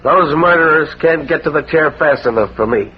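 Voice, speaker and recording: Actor as higher-voiced, gruff police sergeant. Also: male voice, moderate pace, lightly acted. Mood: emphatic. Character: gruff, blunt, plain-spoken police sergeant. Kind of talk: 1940s radio acting. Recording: muffled vintage radio recording.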